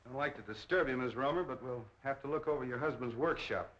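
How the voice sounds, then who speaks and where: A man playing a brisk, skeptical police detective with an American accent and a sardonic manner, in a 1930s film. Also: mid-range voice, fairly bright tone, lightly acted. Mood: businesslike. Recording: muffled, hissy 1930s film soundtrack.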